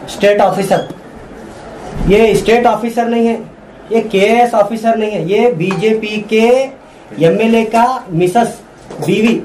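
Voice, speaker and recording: A man's voice: high voice, darkish tone, slight background noise, low-quality recording. A man with a Deccani accent gives an indignant, accusatory protest statement to the press, hammering his demands repeatedly. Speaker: activist spokesman.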